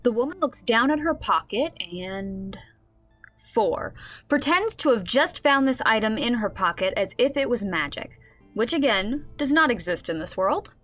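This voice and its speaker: High-voiced woman playing a game master. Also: big pitch swings, measured pace, fairly bright tone, faint music behind, heavily acted. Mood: storytelling. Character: game master narrating a comic fantasy tabletop game. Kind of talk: scripted radio drama narration.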